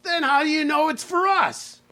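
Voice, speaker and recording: A man's voice: very high voice, moderate pace, pushed and bright. A man playing an exasperated, scolding mid-century husband in a live radio play, nagging and questioning emphatically.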